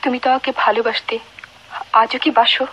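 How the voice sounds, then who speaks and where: Actress as high-voiced yearning wife. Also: female voice, little pitch movement, moderate pace, fairly bright tone, soft background music, lightly acted. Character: yearning, emotional young wife longing for motherhood. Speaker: radio drama actor. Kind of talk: melodramatic radio play acting. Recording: noisy old radio recording.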